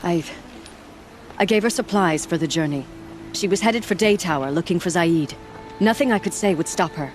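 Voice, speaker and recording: A man's voice: high voice, normal speed, a little hiss or hum, close to an everyday voice. Bitter, grieving villager played by a man. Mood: reluctant. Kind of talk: video game dialogue acting.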